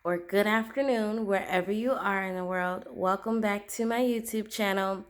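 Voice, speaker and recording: A woman with a head cold and a sore throat, chatting casually at her desk at home while comparing planners, clearing her throat now and then. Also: medium pitch, steady tone, moderate pace. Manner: chatty, loose, with many ums and likes. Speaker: planner vlogger. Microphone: camera aimed down at the desk, speaker off frame.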